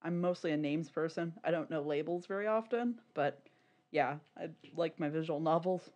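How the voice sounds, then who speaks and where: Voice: mid-range voice, normal speed, a little mellow. A podcast co-host chatting casually in a rambling, candid, giggly way.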